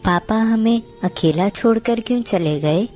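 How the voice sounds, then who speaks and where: A woman's voice: fairly high pitch, unhurried, a little mellow, soft background music, lightly acted. A woman voicing a sad, polite, earnest young boy in a Hindi cartoon story.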